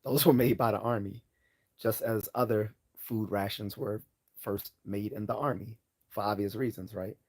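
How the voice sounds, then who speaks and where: Hoarse man rambling through a livestream. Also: on the low side, steady tone, normal speed, darkish tone. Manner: rambling, hesitant, many fillers and restarts. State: hoarse, losing his voice. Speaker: livestream host answering chat questions. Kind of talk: casual live Q&A chat.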